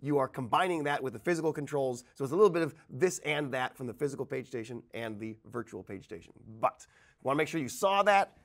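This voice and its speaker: A man's voice: mid-range voice, animated, quick pace, fairly bright tone. A man in his thirties giving an informal, conversational video tutorial as a training instructor, explaining things on a lapel mic in a studio. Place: indoor presentation studio at a desk.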